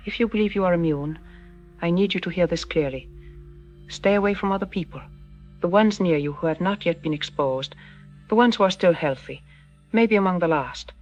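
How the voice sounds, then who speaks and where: A woman playing a solemn, earnest doctor giving deliberate expert warnings on a news broadcast in an audio drama. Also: fairly low pitch, highs cut off, natural voice. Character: solemn female university doctor warning of pandemic. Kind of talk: scripted interview in an audio drama.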